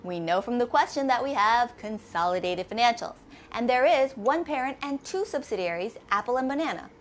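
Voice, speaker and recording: Casting: woman, slightly high-pitched, methodical teacher narrating a scripted tutorial, with some noise in the recording. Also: lively intonation, fairly quick. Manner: methodical, instructional, asks and answers own questions. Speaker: accounting instructor. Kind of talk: scripted step-by-step lesson narration.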